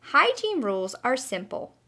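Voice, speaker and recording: A woman's voice: high-pitched, huge pitch swings, moderate pace, sharp tone, full character voice. A woman voicing a kindly, patient female butterfly who teaches in an encouraging, emphatic way in a children's storybook. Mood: instructive. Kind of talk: children's storybook read-aloud.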